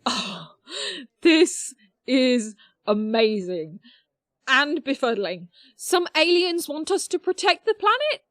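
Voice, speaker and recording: A woman playing a brainy, excitable teenage girl who talks in formal, wordy bursts in an audio drama. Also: sits high, slow pace, no room sound, studio quality, exaggerated voice. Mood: amazed, baffled.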